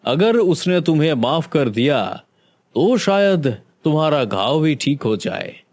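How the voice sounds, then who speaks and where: A man's voice: fairly high pitch, very animated, measured pace, a little mellow, heavily acted. A man voicing a solemn male doctor giving grim advice in a Hindi cartoon. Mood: grave. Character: grave male village doctor.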